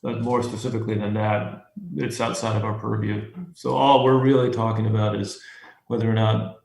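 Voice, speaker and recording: Man chairing a hearing, gravelly, flat delivery, halting with fillers, echoey room. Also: fairly low pitch. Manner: halting, many fillers and restarts. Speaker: commission chair.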